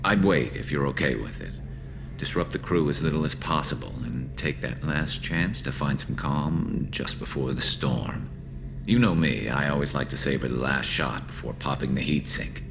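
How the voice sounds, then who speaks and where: A man voicing a loyal alien soldier in a video game, gravelly, halting and self-deprecating with dry, nervous humour. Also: very deep voice, noisy background. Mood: wry, awkward. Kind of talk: video game dialogue acting.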